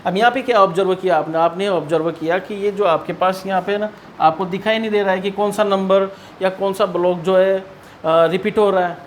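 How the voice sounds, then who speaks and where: A man's voice: high voice, steady tone, a little fast, slight background noise. An Indian man teaching maths in an explanatory lesson style, asking and answering his own questions and repeating key points.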